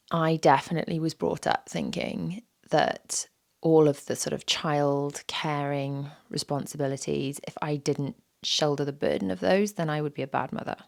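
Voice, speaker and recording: A woman, hosting a solo podcast with a croaky, sore-throated voice, candid and self-deprecating, repeating phrases for emphasis. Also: no echo, clean studio sound. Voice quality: low and gravelly. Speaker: podcast host. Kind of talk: reflective solo podcast talk.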